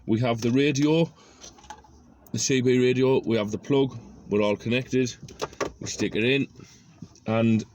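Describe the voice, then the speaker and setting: A man's voice: mid-range voice, steady tone, measured pace, pauses often, darkish tone. A man giving a casual hands-on DIY tutorial, talking through each step and thinking aloud as he works. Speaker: presenter of a DIY car project video. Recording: noisy amateur recording with clicks.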